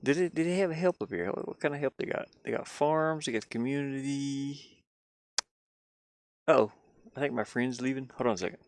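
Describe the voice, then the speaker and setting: A man's voice: medium pitch, moderate pace. A man chatting casually on a livestream through a headset, thinking aloud in a rambling, musing way and often questioning himself. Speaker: live streamer learning game design.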